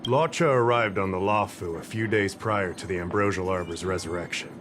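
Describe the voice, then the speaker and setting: An actor playing a casual, chatty middle-aged security officer who rambles a little in a game cutscene. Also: male voice, sits low, brisk, a bit bright, slight background noise. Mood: explaining. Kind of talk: game voice acting. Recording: game cutscene with music behind.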